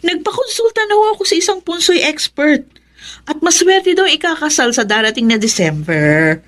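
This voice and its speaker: An actress playing a superstitious, bossy elder sister in a Filipino radio drama, arguing insistently. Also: female voice, high voice, expressive, moderate pace, fairly bright tone. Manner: insistent, argumentative.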